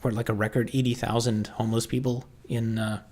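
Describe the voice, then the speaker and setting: A man with a Canadian accent chatting casually on a livestream, answering viewers and thinking aloud in halting, restarting sentences. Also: medium pitch, flat delivery, a little mellow.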